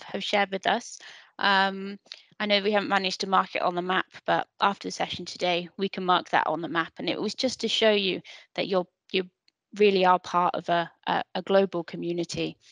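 A woman's voice: mid-range voice, fairly bright tone, no echo. A woman hosting an online event on a video call, polite and encouraging, often repeating words as she talks. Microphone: computer mic on a video call.

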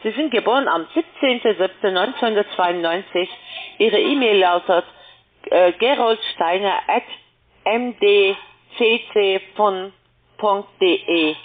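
A woman reads out a scripted telemarketing pitch. Her voice sits at a medium pitch and comes through a thin, band-limited telephone connection. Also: measured pace. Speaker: call-centre telemarketer. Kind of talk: scripted phone sales pitch. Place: phone call. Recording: muffled, band-limited phone line.

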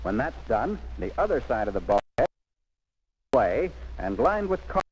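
A man's voice: mid-range voice, big pitch swings, normal speed, a little mellow, lo-fi sound, a little hiss or hum. A man narrating a 1940s military instructional film in an emphatic, instructive scripted manner, on an old film soundtrack.

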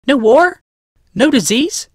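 A man's voice: on the high side, sing-song, moderate pace, a bit bright, no room sound, studio quality, voice pushed up. A male narrator voicing an idealistic noblewoman who questions and pleads. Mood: awed. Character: troubled, defiant noblewoman, idealistic architect.

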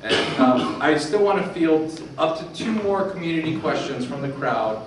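A man's voice: fairly high pitch, animated, normal speed. A man hosting a community tech meetup, speaking casually and informally to the crowd as he emcees. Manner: casual, repeats audience questions for the room. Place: meetup room with an audience. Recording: echoey room from far off, faint noise.

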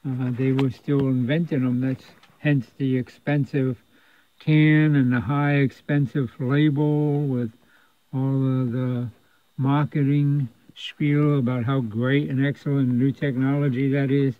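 A man's voice: medium pitch, little pitch movement, measured pace, no room sound. A man giving a casual, unscripted workbench narration as a repair technician, explaining in a rambling, conversational way. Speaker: radio repair technician presenting a repair video. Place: indoor electronics repair workshop. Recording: thin phone-like sound.

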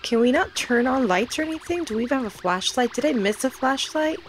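A woman's voice: sits high, lively intonation, brisk, soft background music. A woman giving casual, nervous commentary as she plays a horror game, thinking aloud. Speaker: gaming video host.

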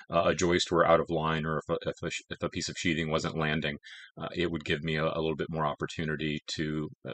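Very deep-voiced man explaining his build. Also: a bit bright, no echo. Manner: measured, explanatory, frequent "uh" fillers. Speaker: homestead builder. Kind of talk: casual build narration. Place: outdoors on a house frame build site.

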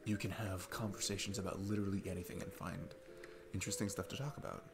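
Man acting as a deep-voiced, nervous single dad. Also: level tone, fast, gravelly, background noise. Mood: earnest, awkward. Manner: hesitant, rambling, self-deprecating, chuckling between phrases. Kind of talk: intimate ASMR roleplay, casual chat.

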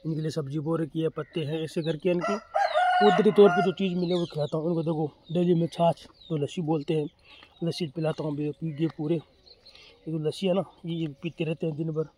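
Farmer explaining poultry outdoors. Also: male voice, on the high side, fairly even intonation, brisk. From Rajasthan. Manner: plain, repetitive, talks straight to viewer. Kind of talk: casual explainer vlog. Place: outdoors on open farm with chickens. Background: chickens clucking, faint noise.

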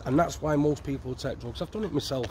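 A middle-aged man explains things in an earnest, matter-of-fact way. He speaks into a handheld mic outdoors on a street at night. Traffic and street noise are clearly audible behind him. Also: mid-range voice, quick pace. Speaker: interview guest, former prisoner. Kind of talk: casual interview answers.

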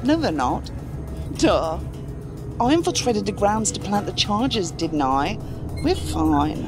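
A woman's voice: medium pitch, sing-song, over music. Cocky, sardonic female saboteur. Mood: impatient, confident. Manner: brisk, confident, sarcastic. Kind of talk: audio drama acting.

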